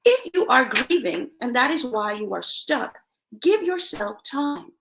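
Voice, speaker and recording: A woman from Jamaica hosting a self-help show, giving motivational talk and personal stories in an emphatic, expressive way, repeating key words for effect. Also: high voice, a little slow, background noise.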